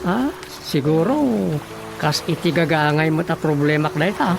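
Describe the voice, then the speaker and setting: A man's voice: fairly high pitch, lively intonation, moderate pace, vocal fry, over music. A man with a Filipino accent playing a chatty, teasing village official, the comic sidekick in a radio drama. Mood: bantering. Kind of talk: comedic radio drama acting.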